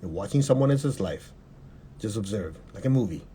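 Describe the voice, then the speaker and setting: Low, mellow man guiding a meditation. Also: slight background noise. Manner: calm, measured, repeating short instructions. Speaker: meditation guide. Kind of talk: guided meditation.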